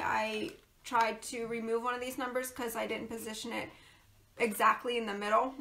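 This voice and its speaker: Woman, casual crafting host, rambling, losing voice, bright tone, higher pitch. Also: creaky, slight background noise. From Pennsylvania, USA. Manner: chatty, rambling, frequent ums and laughs. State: losing her voice, coughing. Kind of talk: casual crafting livestream chat.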